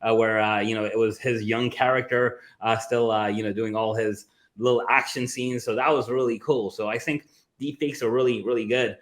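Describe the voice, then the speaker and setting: A man's voice: mid-range voice, fairly even intonation, normal speed. A man chatting casually about security as a co-host, full of "you know" and "like" fillers. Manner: filler-heavy, says "you know" and "like" a lot. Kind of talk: casual tech chat.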